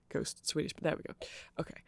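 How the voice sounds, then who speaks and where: A man voicing a nerdy, friendly vampire in a casual ASMR roleplay, soft-spoken and rambling haltingly close to the microphone. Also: dry recording, close to an everyday voice. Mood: rambling, unsure. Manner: halting, self-deprecating rambling with small laughs. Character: nerdy, hesitant, friendly male vampire music fan. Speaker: ASMR audio roleplay performer.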